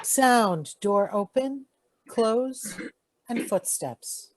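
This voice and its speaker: A woman's voice: on the high side, animated, very slow, darkish tone, slight background noise. A woman reading out sound-effect cues for a radio play in short, announced phrases. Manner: clipped, announced cue words. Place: performed from home on a video call.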